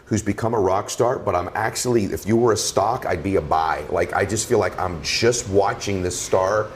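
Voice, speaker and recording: Deep-voiced effusive male podcast host. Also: normal speed, a little hiss or hum. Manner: effusive, animated, heaps on praise. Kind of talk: enthusiastic interview chat.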